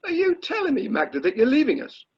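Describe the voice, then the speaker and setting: A man's voice: extremely high pitch, huge pitch swings, fast, exaggerated voice. An amateur actor playing a pompous, irritable middle-aged British drama society chairman in a radio play. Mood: indignant. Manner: pompous, self-dramatising, exasperated. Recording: muffled, lo-fi video call audio.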